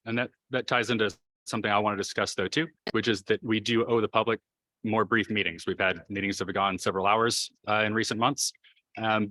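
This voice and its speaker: A man leading a school board meeting, speaking in a measured, questioning way with many fillers. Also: fairly low pitch, sharp tone, dry recording. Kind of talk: formal meeting discussion.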